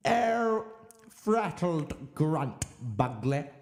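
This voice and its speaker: A man voicing a merciless, pompous alien captain, declaiming with drawn-out, strident melodrama in an audiobook story. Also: on the high side, very animated, darkish tone, echoey room, pitched above the performer's own voice, full character voice. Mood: pompous, impassioned.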